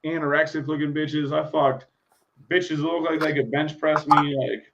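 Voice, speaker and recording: A man's voice: fairly high pitch, steady tone, normal speed, gravelly, a bit bright. A man, co-hosting a casual podcast, blunt, profane and argumentative. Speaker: podcast co-host. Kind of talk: crude casual chat. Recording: slightly dull lo-fi sound.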